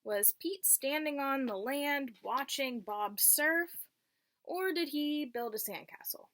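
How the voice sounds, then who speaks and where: Lady teacher reading a kids book, slow n clear phrases, kinda high voice, asks the kids stuff. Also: animated, a bit bright. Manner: simple short phrasing, checks in with listeners. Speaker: teacher reading aloud to young children. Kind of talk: picture-book read-aloud with questions to children.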